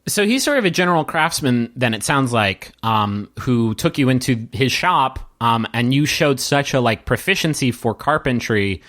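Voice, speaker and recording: A man working as game master, improvising tabletop game narration and addressing the player directly in a halting, searching way. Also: mid-range voice, animated, fairly bright tone.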